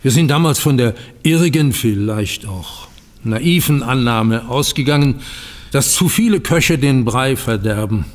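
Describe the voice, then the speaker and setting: An actor playing a bitter, dignified older bank founder in a German audio drama, speaking formally with biting sarcasm. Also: male voice, medium pitch, animated, a little slow, big-room sound, natural voice. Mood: regretful, bitter.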